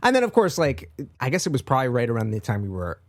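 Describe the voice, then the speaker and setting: A man's voice: medium pitch, wildly swooping pitch, fast talker, no room sound. A middle-aged man with a Canadian accent, co-hosting a casual film podcast with a wry, emphatic way of talking. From Toronto, Canada. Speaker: podcast co-host.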